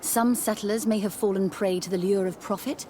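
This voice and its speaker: A formal, composed senior nun and scholar from a fantasy video game, played by a woman. She speaks briskly at a medium pitch, with clear background ambience. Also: steady tone, mellow, a little hiss or hum, close to an everyday voice. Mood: concerned. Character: busy, formal female religious scholar in charge. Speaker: supervisor of theologians.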